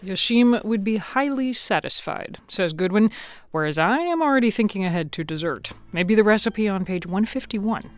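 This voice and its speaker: A woman radio reporter narrating a scripted feature in a crisp, wry way. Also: medium pitch, lively intonation, normal speed.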